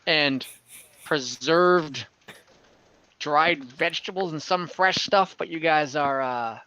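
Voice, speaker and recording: A woman narrating an online tabletop game as game master, informal and halting, often rephrasing as she goes. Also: deep voice, a bit bright, lo-fi sound. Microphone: online group call.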